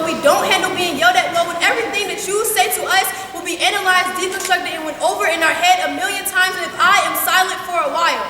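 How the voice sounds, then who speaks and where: Young female student poet, dramatic spoken-word, very high-pitched, distant in a very echoey hall. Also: bright, forceful tone. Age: young adult. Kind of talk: slam poetry recitation. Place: large school assembly hall. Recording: echoey hall from far off, faint noise.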